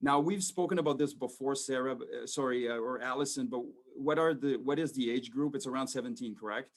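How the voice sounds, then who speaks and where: A man's voice: mid-range voice, a little fast. A man in his forties speaking over a video call in an everyday mid-range voice. He talks informally to a school audience and rambles, with many fillers and restarts. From Quebec, Canada. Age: 40s. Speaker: police sergeant major speaking to students.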